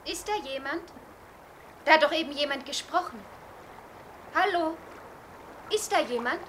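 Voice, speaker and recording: An actress in a 1940s German radio play voicing a kind, frank young woman, earnest and gently coaxing. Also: high-pitched, expressive, measured pace, a little hiss or hum. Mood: startled concern. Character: kind, concerned young girl, frank and helpful.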